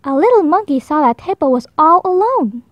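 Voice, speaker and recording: A woman reading a children's picture book aloud, slowly and expressively, with higher voices for the animals. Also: no room sound. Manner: slow, expressive, high voices for animal lines. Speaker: storybook reader. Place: indoors at a table, book held to camera.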